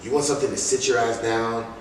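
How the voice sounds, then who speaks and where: A man's voice: medium pitch, fast talker. A man being interviewed as a music artist, talking casually in slangy, emphatic stories. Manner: animated, emphatic, slangy storytelling. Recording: very echoey room, distant mic, faint noise.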